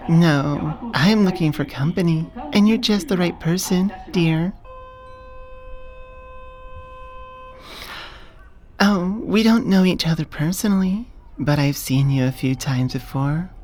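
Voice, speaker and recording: Low, soft-spoken smitten elf woman voiced by an actress. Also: unhurried, few pauses, close to an everyday voice. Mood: composed, forward. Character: smitten, businesslike elf woman, dominant HR manager confessing love. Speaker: voice actor. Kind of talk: soft-spoken binaural ASMR roleplay.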